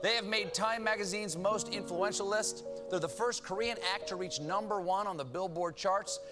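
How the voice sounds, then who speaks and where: A man's voice: sits high, moderate pace. Man announcing a guest over music. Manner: formal announcing. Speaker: talk show host. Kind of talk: scripted guest introduction.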